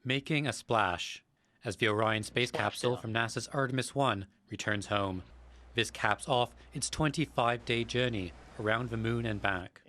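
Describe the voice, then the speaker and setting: A male reporter with a mid-range voice. He narrates his news package in a measured, scripted tone. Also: medium pitch, pushed and bright.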